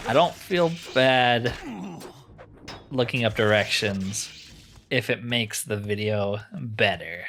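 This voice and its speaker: A man's voice: lowish voice, fairly bright tone. Casual man narrating his gameplay. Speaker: gaming video host. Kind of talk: casual game playthrough commentary.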